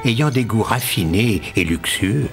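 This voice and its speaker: A man narrating an animated fairy tale as a scripted storyteller. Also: lowish voice, a little slow, creaky, soft background music. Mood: storytelling.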